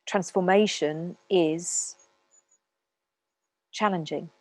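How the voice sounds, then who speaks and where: Slow, low-pitched woman guiding meditation. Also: dry recording. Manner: calm, unhurried, with long pauses. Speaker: meditation teacher. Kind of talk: guided meditation talk.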